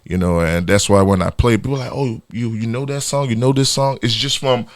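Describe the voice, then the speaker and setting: A man's voice: medium pitch, brisk. A Nigerian man with a Nigerian accent, talking casually as a guest musician and often restarting and repeating words. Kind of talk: casual interview chat. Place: radio studio.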